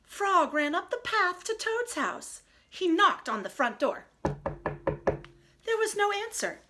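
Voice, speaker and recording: Female early-years teacher, high-pitched and very animated, reading aloud and explaining simply. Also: slow. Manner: expressive, clearly explaining. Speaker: teacher of young children. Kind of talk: read-aloud storytelling and simple instructions for children.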